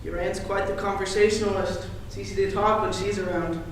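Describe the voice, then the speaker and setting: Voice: medium pitch, fairly even intonation, brisk, close to an everyday voice. Echoey, prissy, awkward boy, acted onstage. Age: teenage or young adult. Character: prissy, indignant, socially awkward boy suitor. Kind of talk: high school stage play acting. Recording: echoey stage, distant camera, faint noise.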